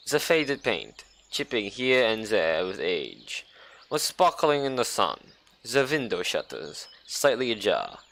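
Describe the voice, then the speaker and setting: A young man reading a visual novel aloud, with playful, sarcastic asides and mock-dramatic slowdowns. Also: mid-range voice, bright, forceful tone, a little hiss or hum. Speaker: game streamer.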